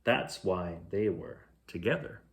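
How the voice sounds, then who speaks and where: A man reading a children's picture book aloud as a bedtime storyteller, in a measured, expressive way. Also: low-pitched, creaky. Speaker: bedtime story reader, host.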